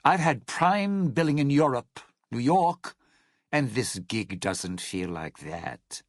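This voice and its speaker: A man playing a jaded, self-regarding old lounge singer and cynical schemer in a video game, with a gravelly, husky voice and a wry, theatrical, slangy drawl. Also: medium pitch, big pitch swings, slow, no echo, studio quality, full character voice. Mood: boastful, skeptical. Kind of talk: video game character dialogue.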